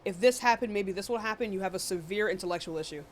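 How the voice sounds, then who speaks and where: A woman's voice: mid-range voice, fast talker. A woman hosting a live debate stream in a casual, blunt, dismissive and often profane way. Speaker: livestream debate host.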